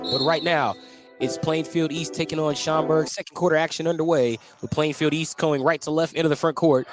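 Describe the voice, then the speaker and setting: Higher-voiced man calling live basketball. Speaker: play-by-play announcer. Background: basketball gym ambience, bouncing balls.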